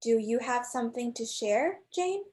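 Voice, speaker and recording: A woman playing a firm, stern doctor who leads group therapy in a staged play reading, questioning in a measured way. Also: a little high, animated, a little mellow, close to an everyday voice.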